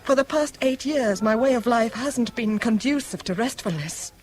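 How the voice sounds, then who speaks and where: A woman's voice: a little high, moderate pace, lightly acted. An actress playing a jittery, evasive young woman, a rich man's mistress, in a radio drama, articulate and defensive. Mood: guarded, wistful. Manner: tense, defensive, articulate and wistful. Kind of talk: 1970s British radio drama acting.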